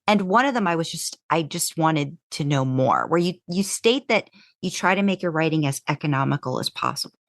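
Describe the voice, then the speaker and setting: A woman's voice: low-pitched, lively intonation, a little fast, no echo, studio quality. A woman hosting a podcast interview in a casual, chatty way, circling around and rephrasing her questions.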